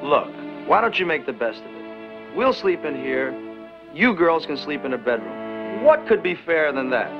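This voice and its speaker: A man's voice: on the high side, sing-song, moderate pace, music in the background. An actor playing a cocky young male college student who teases in a glib, smug way. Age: young adult. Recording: dull 1960s film soundtrack.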